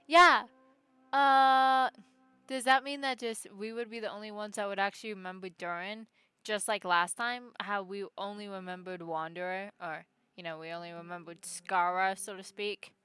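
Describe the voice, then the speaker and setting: Bright, clear-voiced woman streamer. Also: medium pitch, moderate pace, no echo. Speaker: game streamer. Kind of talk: casual live gameplay commentary and reading dialogue aloud.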